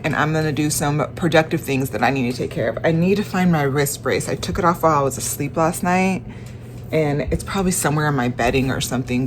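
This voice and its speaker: A young woman with a stuffy, congested voice, talking in a casual personal vlog, halting and tired, with many restarts and fillers. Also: sits low, a little hiss or hum. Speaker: vlogger.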